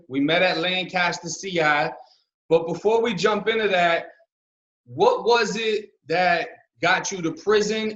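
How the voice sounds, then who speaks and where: A young man with a high voice hosts in a relaxed, friendly way, leaning on street slang. The room is echoey, and the recording sounds dull and low-fidelity. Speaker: podcast host, former prisoner. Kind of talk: casual interview.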